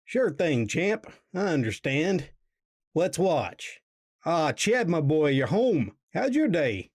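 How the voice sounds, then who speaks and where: A man's voice: on the high side, very animated, slow pace, slightly rough, no echo, studio quality, exaggerated voice. A man playing a cheerful middle-aged dad and eccentric tinkerer in an audio drama, jolly and folksy. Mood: cheerful, proud.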